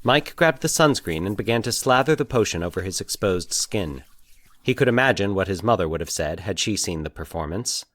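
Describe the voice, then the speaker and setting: A man with a fairly low voice narrates fiction in a measured storyteller's manner. The sound is dry, with hardly any room around the voice. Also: animated, a bit bright, no room sound.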